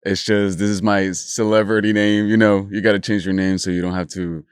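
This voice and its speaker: A young man in his early twenties from Honduras, with Spanish as his first language. His voice is fairly low and very clean and smooth. He chats casually and playfully as an interview guest, recorded dry. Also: little pitch movement, fairly quick, dry recording. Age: early 20s. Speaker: podcast guest, content creator.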